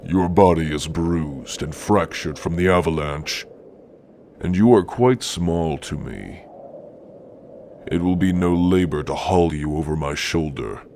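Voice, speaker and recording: A man voicing a hulking, stern orc warrior in an ASMR roleplay, speaking in archaic threats with dark amusement. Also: deep voice, a little hiss or hum. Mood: menacing, amused. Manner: slow, archaic, threatening phrasing.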